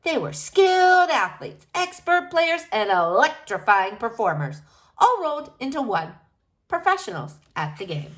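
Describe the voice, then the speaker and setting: Bright, animated woman reading a storybook aloud. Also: high voice. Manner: emphatic, dramatic phrasing with drawn-out key words. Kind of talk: expressive children's picture book read-aloud.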